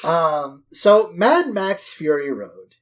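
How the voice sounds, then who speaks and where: A man's voice: a little high, very animated, slow pace, fairly bright tone. A man co-hosting a casual film discussion, talking emphatically with plenty of swearing and hyperbole. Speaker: podcast co-host.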